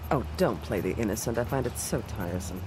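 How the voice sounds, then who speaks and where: An actress playing an imperious, manipulative commander's wife in an audio drama, haughty and theatrical. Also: female voice, a bass voice, expressive, brisk, noisy background. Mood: insinuating, persuasive.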